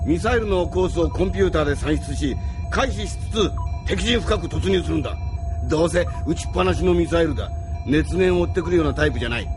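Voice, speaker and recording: An actor playing a shrewd, bossy military commander in an anime audio drama. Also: male voice, on the high side, fast talker, close to an everyday voice. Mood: scheming, confident. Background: music.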